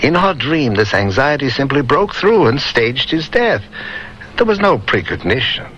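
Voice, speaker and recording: An actor playing a calm, learned psychiatrist who explains things in a measured, gently authoritative way in a vintage radio drama. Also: male voice, medium pitch, lo-fi sound. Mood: assured, authoritative. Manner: measured explaining, soft chuckles. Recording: hissy vintage radio broadcast recording.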